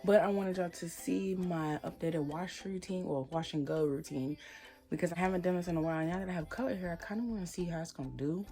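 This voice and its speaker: A woman with a slightly hoarse voice, chatting casually and rambling as she reviews hair products. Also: lowish voice, quick pace, dark tone, faint music behind. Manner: chatty, rambling, lots of fillers. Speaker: hair vlogger.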